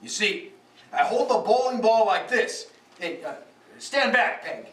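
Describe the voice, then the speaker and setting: An actor playing a bumbling, eager husband in an old-time radio comedy. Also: male voice, high voice, sing-song, unhurried. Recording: echoey live stage, distant, faint noise.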